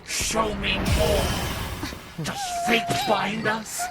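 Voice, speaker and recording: High, crazed, drawn-out villain. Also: male voice, flat delivery. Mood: frenzied. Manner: drawn-out, frenzied declaiming. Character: crazed destiny-obsessed male villain in battle. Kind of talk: video game cutscene acting. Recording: distant voice under loud music.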